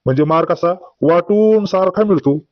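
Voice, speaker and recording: Man voicing old village braggart, highish voice, earthy scolding talk, cartoon comedy, dull dry recording. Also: moderate pace, no echo, close to an everyday voice. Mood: storytelling. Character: boastful old village man, grieving, exasperated, telling tall tales.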